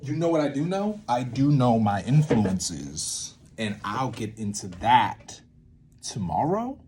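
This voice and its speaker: A man hosting a casual vlog, thinking aloud in an informal, rambling way with sudden drawn-out, emphatic words. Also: mid-range voice, very animated, a little mellow, slight background noise. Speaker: video series host, theatre maker. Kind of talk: casual unscripted creative-process talk, thinking aloud.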